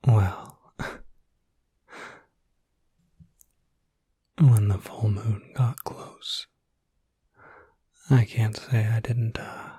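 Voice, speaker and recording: Man acting gentle werewolf, slow breathy whisper. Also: lowish voice, no echo, full character voice. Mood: easygoing, fond. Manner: hesitant, reassuring, casual. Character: gentle, caring young male werewolf looking after someone. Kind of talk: whispered ASMR roleplay, intimate and addressed to the listener.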